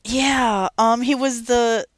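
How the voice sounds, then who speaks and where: A young woman co-hosting a casual gaming podcast, telling stories in a giggly, animated way. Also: a little high, takes it slowly, no echo. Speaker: podcast co-host. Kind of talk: casual chat about video games.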